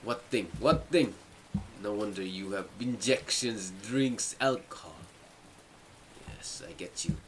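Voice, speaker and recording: A man's voice: lowish voice, slow pace, slight background noise, rough recording. A man hosting a casual game playthrough, reacting and talking back to the game, often repeating phrases. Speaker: gaming video host.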